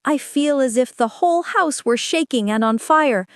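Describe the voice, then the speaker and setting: A woman's voice: sits high, lively intonation, normal speed, clean tone, dry recording, clean studio sound. A woman voicing a wicked stepmother who speaks anxiously, full of dread. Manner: anxious, trembling. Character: guilty, fearful wicked stepmother.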